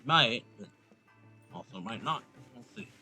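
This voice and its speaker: A man's voice: medium pitch, expressive, a little slow, bright, forceful tone, faint music behind. A man giving casual, offhand playthrough commentary on a video game, half muttering to himself. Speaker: gamer, video host.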